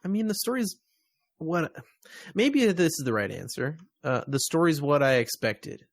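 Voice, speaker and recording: A man's voice: fairly high pitch, very animated, normal speed, no room sound. An American man hosting a podcast, chatting casually about games and media. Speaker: podcast host.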